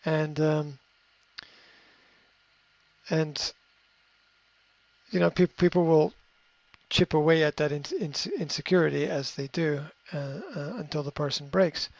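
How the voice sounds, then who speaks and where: A man giving unscripted coaching advice in a reflective way, often pausing with fillers and restarting his sentences. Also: a little high, fairly even intonation, creaky, no room sound. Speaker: coach answering a question. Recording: dry, slightly muffled recording.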